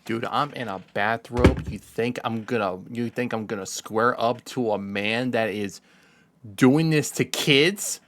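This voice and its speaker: A man hosting a reaction video with casual, slangy commentary, moving between animated exclamations and muttering. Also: medium pitch, unhurried, noisy background. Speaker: YouTube reaction host.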